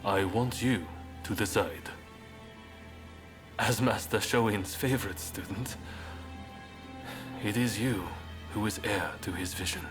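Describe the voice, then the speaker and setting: A man's voice: fairly low pitch, slow, long pauses, music in the background. A man playing a solemn, loyal samurai in a video game cutscene, speaking with grave sincerity. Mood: solemn, devoted. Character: solemn, loyal male samurai giving his vow.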